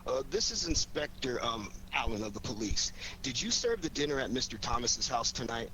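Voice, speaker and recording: A man's voice: mid-range voice, very animated, normal speed, pushed and bright. A man playing a cool, shrewd amateur sleuth in a radio drama, wry, probing and self-assured. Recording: clearly noisy recording.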